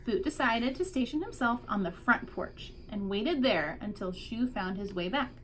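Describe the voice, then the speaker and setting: A woman's voice: fairly high pitch, animated, moderate pace, slight background noise, rough recording. A woman teacher reading a picture book aloud expressively to young children, drawing out key words for emphasis.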